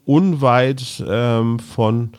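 A man's voice: mid-range voice, drawn-out words, a little mellow, clean tone. A man, a podcast co-host, chatting casually and telling anecdotes in an easygoing way. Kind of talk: casual chat and storytelling.

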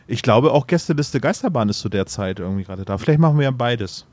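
A man's voice: mid-range voice, animated, fast talker, a little mellow, no room sound. A man co-hosting a podcast in casual chat, playful and teasing. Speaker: podcast co-host.

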